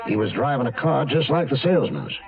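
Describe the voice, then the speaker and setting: An actor playing a brisk, grim county sheriff in a radio drama. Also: male voice, medium pitch, animated, phone-quality sound, a crowd in the background, lightly acted. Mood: grim, emphatic. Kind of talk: 1970s radio drama acting.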